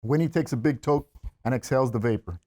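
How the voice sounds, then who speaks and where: A man's voice: medium pitch, quick pace, a little mellow. Man narrating screenplay stage directions. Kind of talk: scripted narration at a screenplay table read.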